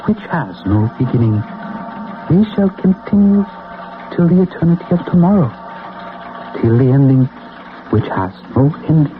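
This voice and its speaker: An actor playing a serene, otherworldly monk in a radio drama, speaking in a solemn, cryptic, chanting cadence. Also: male voice, fairly high pitch, animated, moderate pace, pauses often, close to an everyday voice. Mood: solemn, mystical. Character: serene, otherworldly monk who spins the fates. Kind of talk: old-time radio drama acting. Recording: tinny, noisy old radio broadcast recording.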